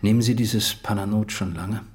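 Actor as a measured, low-voiced doctor. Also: male voice, fairly even intonation, a little mellow, natural voice. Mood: concerned, firm. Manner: measured, questioning. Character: concerned, probing male family doctor. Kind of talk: German radio crime drama acting.

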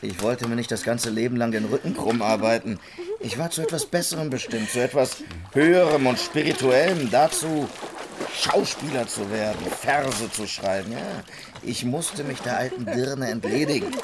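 An actor in a radio drama playing a boastful, lewd Dutch brothel keeper who tells stories in a theatrical, bragging way. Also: male voice, medium pitch, normal speed, a crowd in the background, lightly acted. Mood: self-satisfied, bragging. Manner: theatrical, bragging, chuckling storyteller.

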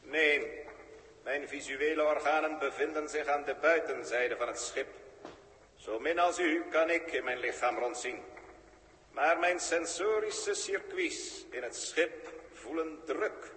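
An actor playing a cold, formal ship-brain that is part human and part machine, speaking in stiff, old-fashioned and measured sentences in a 1960s radio play. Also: male voice, on the high side, fairly bright tone, echoey room, big-room sound, a little hiss or hum, rough recording. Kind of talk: 1960s radio drama acting.

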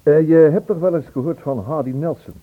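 A man acting in a Dutch radio play as a brisk, blunt police inspector with a conversational delivery. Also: fairly high pitch, natural voice. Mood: matter-of-fact. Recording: thin narrow-band old radio recording.

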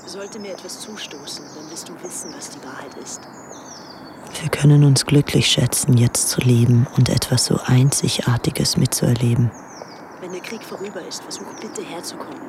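A woman's voice: low voice, lively intonation, moderate pace, background noise. An actress playing an infatuated young British woman devoted to a dictator, adoring and earnest, in a radio drama. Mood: hushed, grave, then fervent. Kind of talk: radio drama, reading personal letters.

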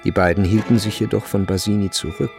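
A male narrator reads literary prose for a radio drama. His voice is fairly low with noticeable fry, and his delivery is measured and grave yet expressive, with faint music behind.

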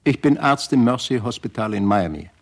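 An actor playing a sober, protective hospital doctor in a radio play, measured and clinical. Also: male voice, mid-range voice, lively intonation, vocal fry. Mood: firm, grave.

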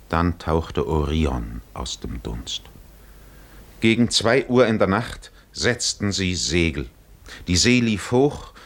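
A man as the solemn, poetic narrator of a 1950s German radio drama, measured and dramatic. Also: deep voice. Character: solemn poetic storyteller of a sea voyage.

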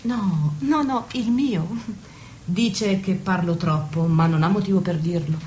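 A woman's voice: fairly low pitch, unhurried, darkish tone, slight background noise, lightly acted. A woman playing a worldly, sardonic married attendant to a noblewoman in a radio drama. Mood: teasing, bitter. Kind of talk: stage-style radio drama acting.

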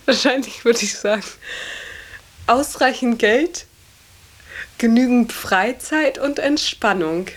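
A woman's voice: fairly high pitch, expressive, drawn-out words, pauses often. A woman giving a reflective, informal answer to an interview question.

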